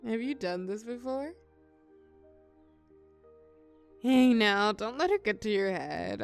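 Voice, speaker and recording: A woman's voice: medium pitch, close to an everyday voice. A young woman playing a shy, tender college girl confiding in a close friend, hesitant yet playful, in an intimate audio roleplay. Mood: teasing, fond. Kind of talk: intimate scripted audio roleplay, one side of a conversation.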